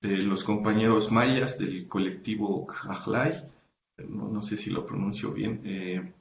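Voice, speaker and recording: A man being interviewed as a researcher, explaining his academic work carefully, pausing often with "eh" and correcting himself mid-sentence. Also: on the low side, steady tone, vocal fry, echoey room, dull audio, slight background noise. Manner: thoughtful, many hesitations and self-corrections. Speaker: guest researcher.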